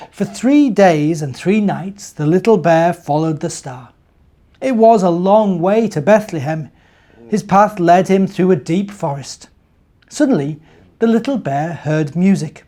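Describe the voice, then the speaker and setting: Guy doing kids story time, soft mellow tone, talks right to the little ones. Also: high-pitched. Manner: asks the young listeners questions. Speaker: minister reading to children. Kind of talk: children's story time, narration and gentle talk.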